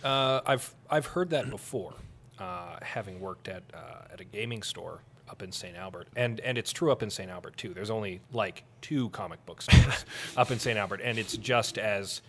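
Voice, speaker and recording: A man, hosting a casual interview conversation in halting, searching phrases. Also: on the low side, fairly bright tone, a little hiss or hum. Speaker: podcast host.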